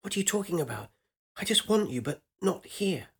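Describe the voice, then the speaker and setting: A man's voice: sits high, very animated, moderate pace, a bit bright, breathy edge, voice pushed up, exaggerated voice. A man voicing a bold, reckless young woman surviving in a ruined city, playful and pushy in a spoken story. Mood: impatient.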